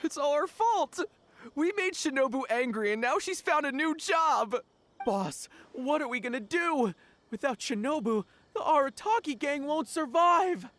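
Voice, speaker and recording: A woman's voice: high voice, lively intonation, unhurried, fairly bright tone. A woman voicing an anxious young gang underling in a video game, earnest and pleading. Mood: distraught.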